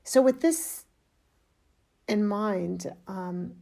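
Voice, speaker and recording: A woman hosting a podcast interview, asking questions in a measured, deliberate way. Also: mid-range voice, vocal fry, dark tone. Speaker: podcast host.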